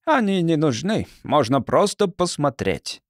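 A man reading an audiobook as a brisk, condescending mentor who explains things with some impatience. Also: fairly high pitch, very animated, dry recording, studio quality. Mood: instructive. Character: brisk, patronizing male mentor who controls time gate.

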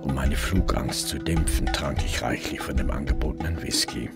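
A very low male voice over clear background music. a gruff, weary middle-aged detective recounting his story in a radio crime drama. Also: steady tone, moderate pace. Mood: weary, resigned. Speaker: narrator and lead actor. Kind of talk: German radio crime drama narration and dialogue.

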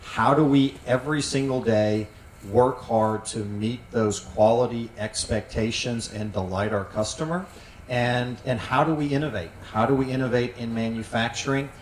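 A man's voice: mid-range voice, fairly even intonation, normal speed. A middle-aged man speaking on a panel as a site manager, earnest and full of fillers, into a handheld mic in a large tent. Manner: earnest, with frequent fillers and repeated words. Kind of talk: panel discussion. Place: large tent at an outdoor event. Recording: roomy sound from some distance, faint noise.

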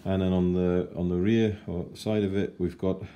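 A man in his forties giving a chatty, unhurried model kit review at his kitchen table. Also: sits low, level tone, highs cut off, slight background noise. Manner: chatty and unhurried, with fillers and self-corrections. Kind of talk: casual unboxing review.

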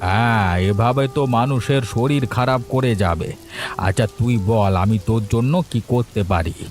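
A man's voice: medium pitch, moderate pace, close to an everyday voice. A man playing a concerned, principled older village uncle in a Bengali cartoon, speaking in an earnest, rustic, familiar way. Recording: music behind the voice.

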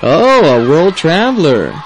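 A man playing a chatty, forgetful schoolteacher in a scripted English lesson dialogue, animated and slightly hesitant. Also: on the high side, a little slow, a faint crowd, slight background noise, exaggerated voice, low-quality recording. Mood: cheerful, curious.

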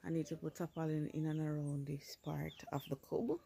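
A woman narrates a casual vlog in an informal, unhurried way over chirping chicks. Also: low-pitched, flat delivery, creaky, warm tone. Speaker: vlogger. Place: chicken coop at home. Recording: noisy handheld phone audio.

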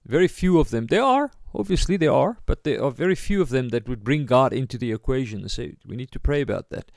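A man with a South African accent giving an informal sermon as a pastor, halting as he searches for words. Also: medium pitch, expressive, vocal fry, a little mellow, dry recording, clean studio sound. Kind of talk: informal sermon, Bible teaching.

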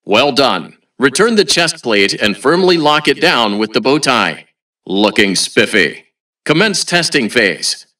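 An automated announcer for an entertainment venue in a video game. The voice is bright and punchy, mid-pitched, with a chirpy, scripted corporate polish. It is blandly cheerful throughout and sounds set back from the mic, like a public address system. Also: male voice, very animated, a little slow, far from the mic. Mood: instructive, upbeat.

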